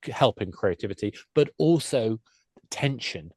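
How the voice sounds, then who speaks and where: A man's voice: lowish voice, lively intonation, moderate pace, a little mellow, husky, no echo. Man chatting enthusiastically, close dry sound. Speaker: podcast guest, games expert. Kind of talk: casual conversational explanation.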